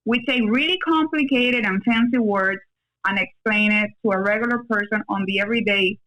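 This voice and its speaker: A woman raised in Cuba and Venezuela, with Spanish as her first language, speaks in a conversational interview. She is an attorney who explains things plainly in short, simple phrases, at a middle pitch. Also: normal speed, fairly bright tone, poor audio. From born in Cuba, raised in Venezuela, in the US since her teens. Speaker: podcast guest, attorney.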